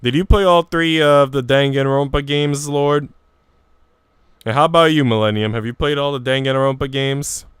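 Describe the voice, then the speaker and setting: A man's voice: medium pitch, normal speed, a bit bright, clear, resonant tone, no room sound, clean studio sound. A man livestreaming casually, talking to his viewers in informal, slangy language. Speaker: game streamer. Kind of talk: casual livestream chat, reading visual novel dialogue aloud.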